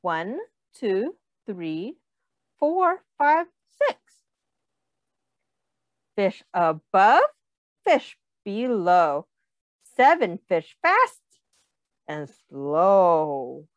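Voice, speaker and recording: Slow, sing-song woman reading to children. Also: mid-range voice, sing-song, no echo, highs cut off. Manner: deliberate, explaining, asks the listener questions. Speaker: teacher reading a picture book aloud. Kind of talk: read-aloud for young children.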